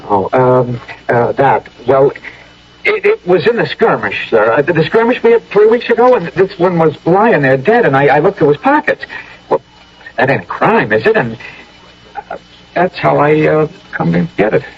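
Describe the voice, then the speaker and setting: Husky, stammering, frightened soldier. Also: male voice, a little high, big pitch swings, moderate pace, full character voice. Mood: evasive. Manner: stammering, folksy, pleading. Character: scared, evasive young male common soldier. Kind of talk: 1980s radio drama acting. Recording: muffled old radio broadcast.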